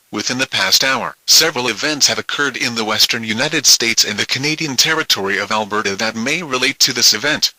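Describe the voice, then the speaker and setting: Male alert announcer, medium register, very sharp and dry sound, scripted, formal, impersonal. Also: mid-range voice, dry recording, rough recording. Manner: formal, impersonal official reading.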